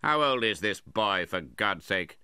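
Man playing a forceful, scornful old uncle. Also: low-pitched, lively intonation, normal speed, clear, resonant tone, dry recording, clean studio sound, exaggerated voice. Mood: contemptuous. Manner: contemptuous, impatient, forceful. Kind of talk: dramatised classic novel reading.

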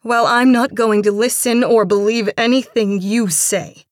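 A woman voicing an angry, bitter and dismissive jilted woman in an audiobook story, with a sharp, biting delivery. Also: fairly low pitch, slow, no echo, clean studio sound.

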